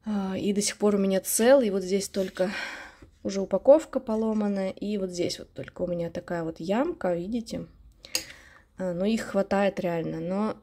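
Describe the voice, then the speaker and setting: Female beauty vlogger, mid-range voice, relaxed wandering chat with a nostalgic, talkative manner. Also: mid-range voice, moderate pace. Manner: chatty, nostalgic, self-correcting. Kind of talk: casual rambling chat. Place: indoors at home, at a dressing table.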